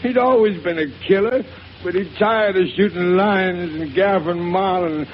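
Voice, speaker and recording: Man doing a frantic, guilt-ridden boat builder, high strained voice, dull old radio sound with hiss. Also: normal speed, natural voice. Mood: agitated. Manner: anxious, then frantic and wild. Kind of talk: 1930s radio drama acting.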